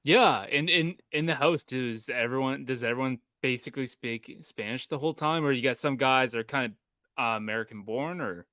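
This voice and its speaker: A man chatting as a podcast co-host. He stops and restarts often as he tells a story. His voice is smooth and resonant, and the recording is dry and close. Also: mid-range voice, bright, forceful tone, no echo. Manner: halting, full of restarts while telling a story. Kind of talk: casual chat.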